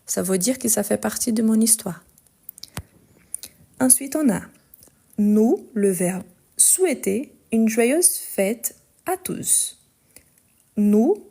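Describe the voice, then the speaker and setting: A woman teaching a live online French lesson, speaking slowly and carefully, repeating each sentence and spelling out the verb endings. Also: on the high side, lively intonation, gravelly, warm tone.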